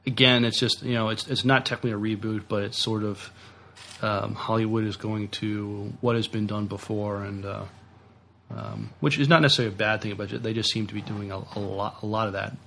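A man co-hosting a podcast, chatting casually in halting, hesitant phrases. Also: fairly low pitch, fairly even intonation, gravelly, bright, forceful tone, slight background noise. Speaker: podcast co-host. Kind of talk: casual discussion of sci-fi news.

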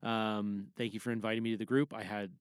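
A man's voice: on the low side, level tone, brisk, clean tone, no echo, studio quality. A man hosting a podcast, talking in a chatty, rambling audio diary style. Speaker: podcast host.

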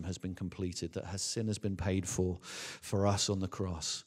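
A man, a Baptist minister, preaching a sermon in an earnest, emphatic way with rhetorical questions and deliberate repetition. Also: deep voice, a little mellow. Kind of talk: sermon to a congregation. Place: large church or hall, speaking from a stage. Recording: reverberant hall with long echo.

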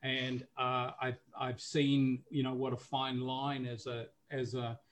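An older man in his sixties speaks with a New Zealand accent, his pitch sitting squarely in the middle for a man. He talks in a halting, casual way, pausing on fillers and restarting his sentences as he gathers his thoughts. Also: completely flat delivery, a little hiss or hum. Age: 60s. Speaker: guest, recovery business owner and coach. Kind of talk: casual podcast interview.

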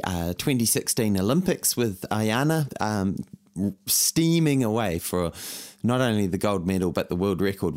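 A man with a scratchy, croaky throat from a cold, coaching runners through a workout in a relaxed, encouraging way, often repeating words. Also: on the low side. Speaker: running coach. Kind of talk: guided workout talk.

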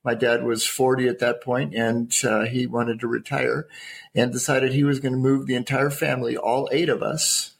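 An older man from the United States talks as an interview guest. His voice is gravelly with plenty of fry, set at a medium pitch. He tells his own story conversationally. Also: flat delivery, normal speed. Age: older, 60s or 70s.

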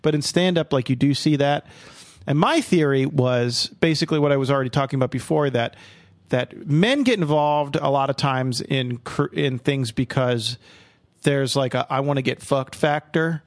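A man hosting a podcast in casual chat. He talks haltingly, full of fillers and restarts. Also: mid-range voice, no room sound. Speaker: podcast host.

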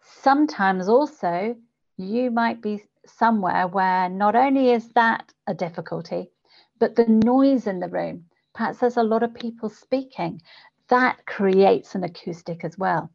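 Woman, medium pitch, voice coach, instructive, deliberate, explanatory interview talk, dulled audio. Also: gravelly, a little mellow, dull audio. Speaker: guest voice coach for public speakers.

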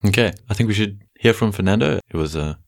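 A man's voice: lowish voice, quick pace, creaky, no room sound, clean studio sound. A man hosting a science podcast, introducing and questioning a guest in a polite manner. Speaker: podcast host. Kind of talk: podcast interview host.

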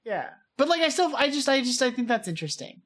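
A woman's voice: high-pitched, sing-song, quick pace. A woman co-hosting a podcast, chatting casually and eagerly with frequent likes and restarts. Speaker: podcast co-host. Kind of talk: casual chat.

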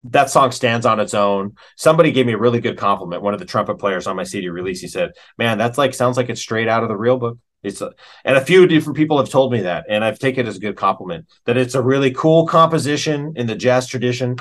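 A middle-aged American man speaks as a musician being interviewed. His voice sits at an average pitch, and he talks casually with plenty of slang. He tells his stories in an animated, conversational way. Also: quick pace.

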